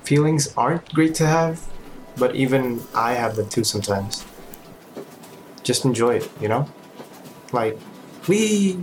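Audio drama role: laid-back, supportive male colleague male actor, medium pitch, understated and lightly playful, slight background noise. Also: animated, unhurried, pauses often, lightly acted, poor audio. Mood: encouraging. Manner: casual, reassuring, understated. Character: easygoing, reassuring male colleague, gently playful. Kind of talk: audio drama acting, naturalistic dialogue.

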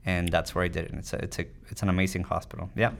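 A man's voice: sits low, little pitch movement, rapid-fire, gravelly, a bit bright, a little hiss or hum. A man from Mexico answering as a physician guest in a conversational interview, explaining things with frequent "you know"s. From Tijuana, Mexico. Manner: explanatory, often tagging points with "you know".

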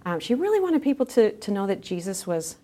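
A woman's voice: medium pitch, sing-song, fairly quick, warm tone. A middle-aged woman speaking as a scholarly guest in a thoughtful podcast interview. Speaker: guest, theology scholar with drama background.

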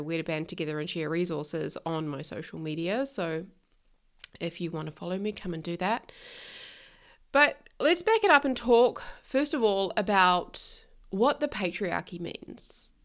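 A woman with a New Zealand accent hosting a solo podcast, talking frankly and outspokenly, her voice a little nasal from being ill. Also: on the low side. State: nasal from illness. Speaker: podcast host, life coach. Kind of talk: casual solo podcast monologue.

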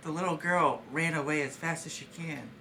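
A man's voice: fairly high pitch, brisk, very noisy. A man on a podcast, joining in playful improvised storytelling. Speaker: podcast participant.

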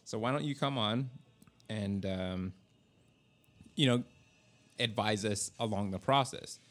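A man's voice: lowish voice, takes it slowly. A man hosting a podcast in casual, informal and enthusiastic chat, swearing freely. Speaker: podcast host and filmmaker.

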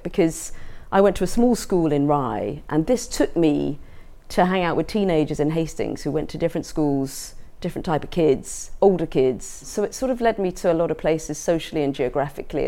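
Low-pitched middle-aged southern English woman. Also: warm tone. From England. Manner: reflective, conversational. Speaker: artist giving a talk. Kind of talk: informal personal talk to an audience.

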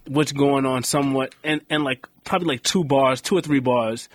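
Husky-voiced man in blunt profane chat. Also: mid-range voice, normal speed. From Queens, New York. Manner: blunt, profane, rambling with restarts. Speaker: podcast co-host. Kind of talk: casual chat.

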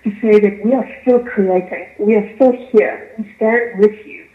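A woman with a South African accent giving articulate, measured answers as a guest in a radio interview. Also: medium pitch, fairly even intonation, roomy sound, narrow, tinny audio. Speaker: arts festival chief executive, interview guest.

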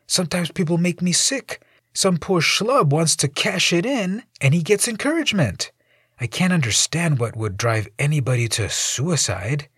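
A man's voice: fairly high pitch, lively intonation, normal speed, fairly bright tone, slightly rough, no echo, studio quality, pitched above the performer's own voice, exaggerated voice. A man voicing a wisecracking young male superhero who talks in jokey, breezy quips. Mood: musing.

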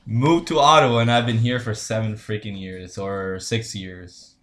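A man's voice: fairly low pitch, fairly even intonation, moderate pace, a bit bright. A young man with a Spanish accent, a Spanish speaker from Honduras, chatting loosely as a podcast guest and laughing often. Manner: laid-back, laughs often, says bro a lot. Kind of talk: casual chat.

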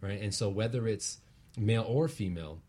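A man's voice: on the low side, normal speed, a crowd in the background. A man speaking as a guest teacher, earnestly explaining spiritual ideas in a conversational way and often checking in with a short tag question. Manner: earnest, explanatory, often tags phrases with "right?". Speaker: guest, relationship practitioner and teacher.

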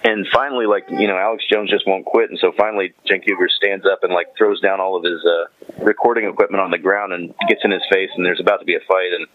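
A man's voice: deep voice, phone-quality sound, a little hiss or hum. A man chatting casually as a podcast guest, slangy and often chuckling as he retells things. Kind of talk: casual chat, animated retelling.

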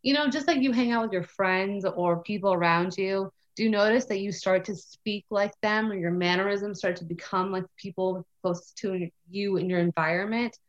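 A woman co-hosting a podcast who chats in an animated way, acting out her own thoughts and peppering her talk with "like" and "okay". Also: mid-range voice, moderate pace, hardly any pauses, dull audio. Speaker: podcast co-host. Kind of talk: casual conversational podcast chat.

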